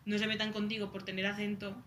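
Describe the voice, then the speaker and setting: Spanish woman hosting a chatty podcast, thinks out loud, mid voice. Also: medium pitch, completely flat delivery, quick pace, slight background noise. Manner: friendly interjections, thinking aloud. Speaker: podcast host. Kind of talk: casual chat.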